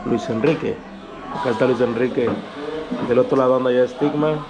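Upbeat vlogger over loud music. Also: male voice, mid-range voice, steady tone, normal speed, muffled recording, a crowd in the background. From Mexico. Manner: upbeat, laughing, addresses viewers. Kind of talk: casual travel vlog narration. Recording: music playing loudly behind.

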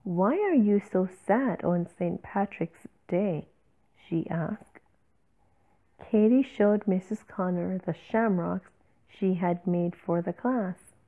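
A woman reading a picture book aloud to children, with slow, deliberate and expressive phrasing. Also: on the low side. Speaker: children's story reader. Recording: tinny, phone-like narrow-band audio.

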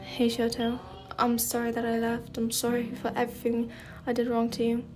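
A long-lost older brother in a fan story, voiced by a woman, apologetic and hopeful. The voice is high and evenly pitched, with soft music behind it. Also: completely flat delivery, normal speed, close to an everyday voice. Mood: hopeful remorse.

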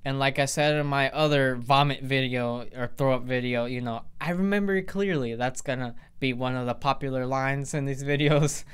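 A man's voice: medium pitch, steady tone, moderate pace, hardly any pauses, fairly bright tone, smooth. A teenage boy telling a storytime vlog to camera in a casual, rambling way, full of fillers and restarts. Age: teens. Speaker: vlogger. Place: indoors in front of a plain grey backdrop.

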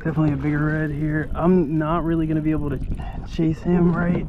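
A young American man giving casual, excited running commentary to his viewers while fishing from a kayak outdoors, picked up by a camera mounted on the boat. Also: on the high side, fast talker, muffled recording. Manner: excited, loose, swears casually, talks to viewers as guys. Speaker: fishing vlogger. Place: kayak on open shallow water outdoors. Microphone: action camera mounted on kayak.

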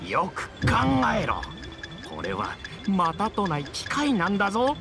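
A man's voice: high voice, measured pace, music in the background, full character voice. An actor playing a pushy, smug middle-aged male reporter in a video game, with an oily, scornful way of talking. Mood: irritated, scolding. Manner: oily flattery turning to sneering scorn. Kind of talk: dramatic Japanese video game voice acting.

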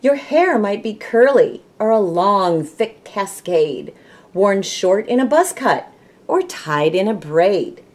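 Casting: middle-aged woman, American Midwestern accent, storybook reader with unhurried pace and animated intonation. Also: mid-range voice. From Michigan, United States. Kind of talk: children's read-aloud storytelling. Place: small room at home, indoors.

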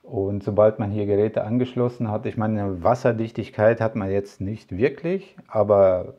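A man's voice: fairly low pitch, normal speed, mellow, lo-fi sound. A man talking casually to camera as a tech vlogger, rambling with many fillers and asides. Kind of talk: casual product talk to camera.

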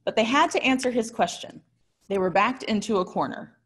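A woman in her thirties with an American accent preaches in a fairly high voice. She speaks as a pastor working from prepared remarks, keeping the delivery conversational. Her storytelling is expressive and articulate. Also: brisk, slightly rough. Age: 30s. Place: small indoor room.

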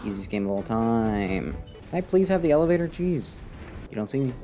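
A young man streaming a video game from a close desk mic, giving casual, sarcastic, indifferent commentary in a deadpan way. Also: medium pitch, brisk. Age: young adult. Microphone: desk microphone close to the face. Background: game music.

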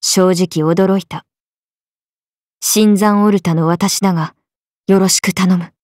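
A woman's voice: lowish voice, steady tone, slow pace, darkish tone, no echo, clean studio sound. A woman voicing a young swordswoman character in a video game. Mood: calm, blunt. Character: swordswomen: a lively young one and her stoic alter self.

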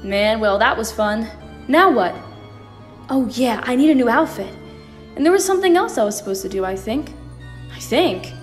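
A woman's voice: on the high side, expressive, moderate pace, pauses often, lightly acted. A woman playing a cheeky, flippant alien mad scientist in a fan audio drama, with a sassy, sarcastic, casual delivery. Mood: breezy. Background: faint music.

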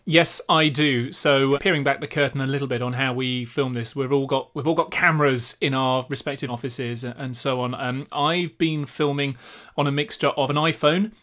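A man in his forties, a podcast co-host, explaining things in a measured, articulate way during casual tech chat. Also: mid-range voice, steady tone, a bit bright. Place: small home office.

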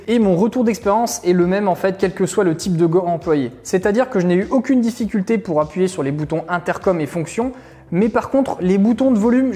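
Male gear reviewer, thirties. Rapid, conversational delivery, warm relaxed tone. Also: high voice. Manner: explanatory, conversational. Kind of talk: casual product review to camera. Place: small indoor room at a desk.

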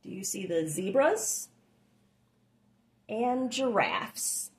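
A woman reading a children's picture book aloud with expressive, drawn-out phrasing and little asides to listeners. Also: mid-range voice. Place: small room at home, indoors.

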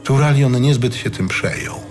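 A man reading scripted dramatic fantasy narration in a measured, solemn storytelling manner. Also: mid-range voice, over music. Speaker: audiobook narrator.

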